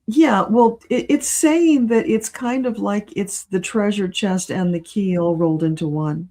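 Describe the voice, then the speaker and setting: A woman's voice: mid-range voice, a little slow, mellow. A woman speaking as a guest in a casual conversational interview. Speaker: guest, spiritual channeler and reader.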